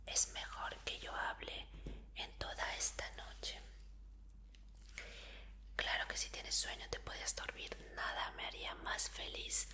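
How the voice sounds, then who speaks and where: An obsessive female fan murmurs to her captive idol, as a woman whispers the part, pleading and apologetic in a slow, unhurried hush. The whisper has a bright, crisp edge. It comes across as a sweetly menacing roleplay.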